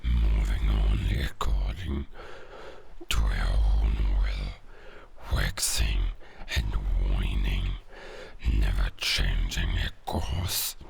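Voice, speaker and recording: A man voicing a weary, melancholic dying werewolf in an audio drama, speaking slowly and solemnly in a gravelly, breathy growl. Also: a bass voice, fairly even intonation, heavily acted. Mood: resigned, wistful. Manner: slow, solemn, poetic. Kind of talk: slow dramatic audio roleplay monologue.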